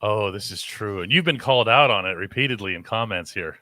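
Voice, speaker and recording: A man hosts a sports talk program, moving briskly through plugs and viewer comments. His voice is bright with some edge. The recording is close and dry. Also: fairly low pitch, expressive, no echo. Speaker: host, sports columnist.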